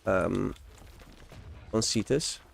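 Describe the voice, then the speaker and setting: A man's voice: lowish voice, extremely slow, gravelly. Austrian-born man streaming a game. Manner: rambling, frequent restarts and self-corrections; enthusiastic, laughs often. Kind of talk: casual live-stream gameplay chat.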